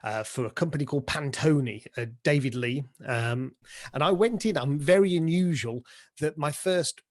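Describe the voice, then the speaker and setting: Chatty man, higher voice, very dry sound. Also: moderate pace, no room sound. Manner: chatty, jokey. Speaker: interview guest, pantomime dame and director. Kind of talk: casual explanatory interview talk.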